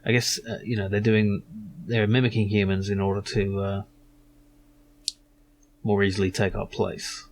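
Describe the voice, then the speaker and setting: A man with a fairly low voice hosts a casual game playthrough. He muses and hesitates as he explains things. A faint hum sits behind his voice. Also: level tone, normal speed, pauses often. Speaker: gaming video host.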